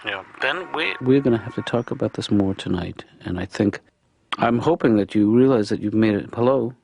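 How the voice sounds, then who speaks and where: An actor playing a wry middle-aged father in a cartoon, talking in a dry, understated way with quiet wisecracks. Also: lowish voice, fairly quick, creaky. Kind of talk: animated sitcom dialogue.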